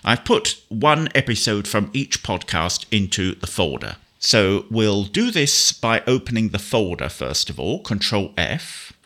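A man gives a spoken software demonstration with a bright, forward voice that sits slightly low. He explains each step methodically and precisely, in the manner of a practised instructor. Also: very animated, a little slow, few pauses. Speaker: software tutorial presenter.

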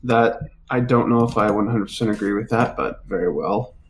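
A man's voice: on the low side, fairly quick, a little hiss or hum. A man playing a tabletop roleplaying game, chatting casually in character with the group.

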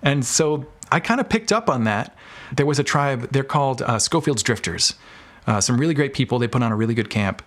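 American man, mid-range pitch, podcast guest, casual conversational storytelling, informal phrasing. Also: lively intonation, brisk, fairly bright tone. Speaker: podcast guest, audio drama producer. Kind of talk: casual interview chat.

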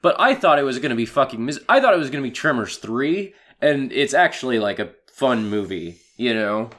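Hoarse man, animated chat. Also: mid-range voice, fairly quick. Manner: animated, laughing, swearing. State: hoarse from a cold. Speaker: podcast co-host. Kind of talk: casual chat.

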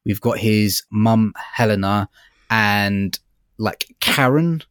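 A man's voice: on the low side, takes it slowly, a bit bright, clean tone, no echo. A man co-hosting a podcast, chatting casually in a hesitant, rambling way as he thinks aloud. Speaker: podcast co-host reviewing audiobooks. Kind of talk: casual discussion.

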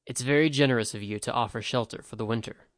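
A calm, guarded monk, read by a man in near his own voice, measured and formally polite. The reading is dry and close, with an even mid-range voice. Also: mid-range voice, no room sound, lightly acted.

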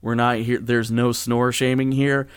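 A man talks casually as a podcast host, with a smooth, clean voice at an average pitch. He speaks unscripted and rambles, stopping and restarting his thoughts. The sound is very dry, with no room on it. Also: flat delivery, no room sound. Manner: rambling, halting, backtracking mid-sentence; chuckles through his own sentences.

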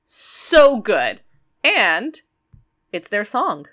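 A woman's voice: mid-range voice, very animated, drawn-out words, fairly bright tone. A woman co-hosting a podcast, chatting casually and enthusiastically as she recaps an episode, with many fillers. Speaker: podcast co-host.

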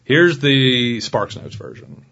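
A man in his thirties, a guest on a podcast, talking in a relaxed way and often restarting his sentences as he thinks aloud. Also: mid-range voice, takes it slowly. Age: 30s. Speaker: guest attorney. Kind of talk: casual podcast interview. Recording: slightly muffled podcast recording.

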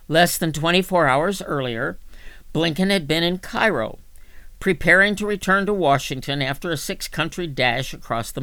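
A man reading magazine articles aloud as scripted narration, in a measured, deliberate manner. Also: a little high, sharp tone. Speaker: volunteer magazine reader for a reading service.

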